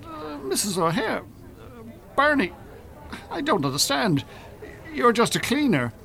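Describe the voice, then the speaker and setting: A man's voice: very high-pitched, very animated, unhurried, gravelly, sharp tone, a faint crowd, exaggerated voice. A man playing a pompous, evasive Irish hypnotist and con artist in an audio drama, speaking formally. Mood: flustered, indignant.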